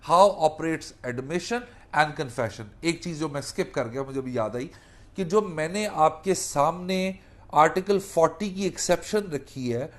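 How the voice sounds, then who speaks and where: Higher-pitched Pakistani man lecturing on law. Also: measured pace. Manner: animated explaining with acted-out examples and repeated key phrases. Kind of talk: spoken lecture mixing Urdu and English legal terms.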